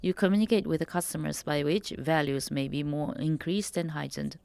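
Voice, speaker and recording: A woman giving simultaneous interpretation of a panel discussion, with measured, slightly stilted phrasing. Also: sits low, gravelly, darkish tone, slight background noise.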